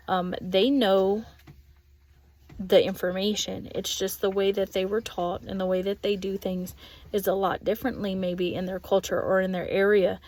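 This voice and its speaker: A woman in her thirties with a Texas accent, an ESL aide giving a thoughtful spoken reflection with frequent pauses and ums. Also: medium pitch, darkish tone, no room sound. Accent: Texan. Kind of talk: spoken reflection on a course video.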